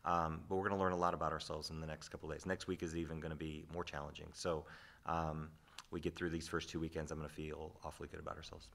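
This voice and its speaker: Guy in his 40s, volleyball coach at a press conference, deep voice, pretty monotone, thinks out loud. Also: gravelly, slight background noise. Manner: measured, reflective, frequent restarts. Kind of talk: postgame press conference answers. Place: press room with sponsor backdrop. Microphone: desk microphone.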